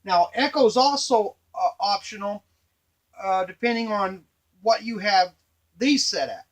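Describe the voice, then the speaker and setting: A middle-aged man giving an informal, halting hands-on tutorial at a workbench, explaining step by step. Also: high voice, expressive. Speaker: device maker and demonstrator. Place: small indoor workshop at a workbench. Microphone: camera a few feet away.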